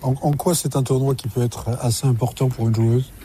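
A male radio host introduces his guest and asks questions in a relaxed, conversational manner with occasional hesitations. His voice is warm and dark. A faint hiss runs underneath. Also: medium pitch, fairly quick. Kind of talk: radio interview.

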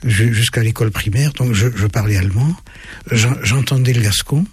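A man in his seventies, a German native speaker raised in southwest France, answering as a guest author on a radio interview in a halting way with many restarts. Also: mid-range voice, husky. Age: 70s.

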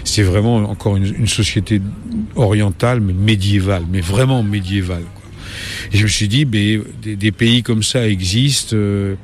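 A man in his late sixties, a travel reporter, answering interview questions in a relaxed way, pausing often with fillers and restarting his sentences. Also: lowish voice, slight background noise. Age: 60s. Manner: hesitant, many fillers and restarts.

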